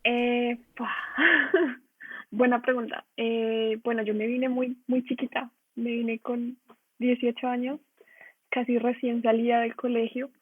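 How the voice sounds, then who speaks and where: A young Colombian woman speaks in a fairly high, even voice over a narrow, phone-like call. She answers casually as an interview guest, pausing to search for words. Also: husky, no room sound. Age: young adult. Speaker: interview guest, design trainee. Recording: narrow telephone-like online call.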